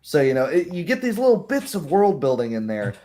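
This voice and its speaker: A man's voice: on the high side, big pitch swings, fairly quick, mellow. Casual man hosting a roleplaying stream. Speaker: game master and stream host. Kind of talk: casual post-game chat about roleplaying.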